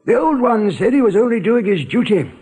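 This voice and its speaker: An actor playing a gruff, worldly rabbit guard in a radio drama, telling things in a colloquial, dramatic, sardonic way. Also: male voice, high-pitched, animated, fairly quick, warm tone. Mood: bitter, confiding. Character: gruff male rabbit guard, worldly, grimly relishing storyteller.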